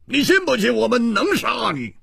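A man voicing a hot-headed, indignant martial arts fighter in a wuxia audiobook, with blustering challenges and a husky voice. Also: extremely high pitch, sing-song, moderate pace, fairly bright tone, dry recording, clean studio sound, voice pushed up, heavily acted. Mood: defiant. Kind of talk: Chinese wuxia audiobook acting.

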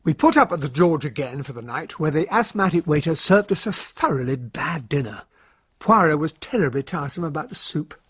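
Very husky english gent, highish voice, earnest sidekick narrating a radio mystery. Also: male voice, expressive, fairly quick, fairly bright tone, no room sound, clean studio sound. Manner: earnest, plain-spoken. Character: earnest English gentleman, detective's loyal male sidekick. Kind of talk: radio drama acting and narration.

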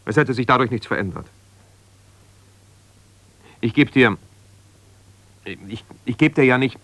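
An actor playing an embittered, self-righteous husband, a lawyer, reproachful and exasperated on one side of a phone call in a naturalistic radio play. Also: male voice, mid-range voice, fairly bright tone, no room sound. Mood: cool, reproachful. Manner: defensive, reproachful, exasperated, insistent.

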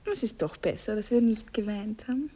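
An actress playing a worldly married woman with a secret lover, coaxing and lightly mocking in a breathy murmur, in a radio drama. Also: mid-range voice, brisk, dark tone, natural voice. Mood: fond, brisk. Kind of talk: German radio drama acting, intimate dialogue.

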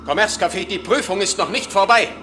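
An actor playing an alarmed crier in a radio play, calling out an urgent warning to townspeople. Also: male voice, high-pitched, fairly quick, noisy background, natural voice. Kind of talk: German radio play acting.

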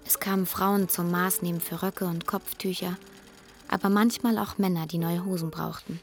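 A woman's voice: on the low side, fairly even intonation, moderate pace, close to an everyday voice. An actress playing a reflective grown foster daughter who narrates her memories in a German radio drama, in standard German. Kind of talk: dramatic first-person narration.

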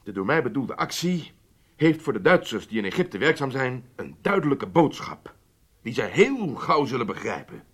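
An actor playing an obsessed, resolute Israeli intelligence chief, speaking gravely and emphatically in formal Dutch radio drama style. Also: male voice, mid-range voice, sing-song, moderate pace. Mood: grave, insistent.

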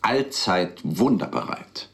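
A man's voice: low voice, very animated, slow, creaky, full character voice. An actor in a radio play playing an earnest, articulate married professional man with an ironic, emphatic manner. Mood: wry, zealous. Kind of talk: radio play dialogue and recollection.

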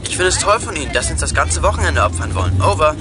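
Female voice as a low-pitched, sensible youngster. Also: very animated, quick pace, loud music behind the voice. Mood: sensible, teasing. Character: sensible, teasing youngster in a gang of kids. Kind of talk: 1980s children's radio play acting.

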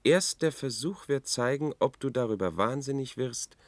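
An actor in a German radio drama playing an anxious, brooding man, measured and reflective in his telling. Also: mid-range voice, lively intonation, no room sound, lightly acted. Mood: urgent.

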